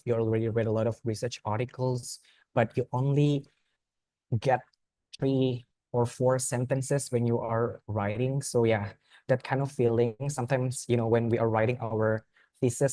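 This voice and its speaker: A man's voice: medium pitch, dark tone, dry recording. A young man with an Indonesian accent, a native Indonesian speaker, giving an earnest student talk with frequent restarts. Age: young adult. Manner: earnest, plain phrasing with frequent restarts and repeated words. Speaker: student speaker at a youth forum. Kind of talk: informal presentation.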